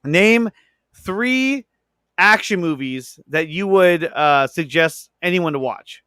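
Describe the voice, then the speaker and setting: A man with a fairly high, bright voice hosts a casual movie talk. He speaks in slangy, profane bursts of enthusiasm. The sound is tight and dry, with very little room. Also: expressive, slow pace, smooth, no room sound. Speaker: podcast host.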